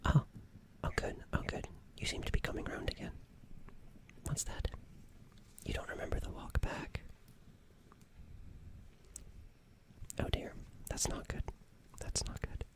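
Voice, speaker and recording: A performer playing a gentle, nervous alien in a whispered ASMR roleplay, speaking in a breathy, hesitant, stammering and soothing way. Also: moderate pace, lightly acted. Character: gentle, nervous alien caring for an injured human.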